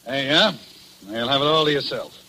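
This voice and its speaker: A man's voice: medium pitch, normal speed, lightly acted. A man with an American accent playing a grasping, mocking jailer, slangy and wheedling, in 1940s radio drama style. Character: needling, grasping male jailer, slangy and envious. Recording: noisy old 1940s radio recording.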